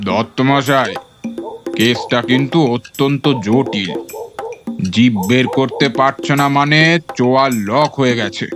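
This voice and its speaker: A man's voice: medium pitch, unhurried, music in the background, close to an everyday voice. A man playing a self-important, alarmist village quack doctor in a comedy audio drama, speaking in grave, pompous pronouncements. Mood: grave, alarming.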